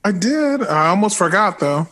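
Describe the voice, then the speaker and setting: A woman's voice: mid-range voice, very animated, normal speed, a bit bright. A woman playing a nervous, wry young aspiring singer, someone's son, in a natural, casual audio drama performance.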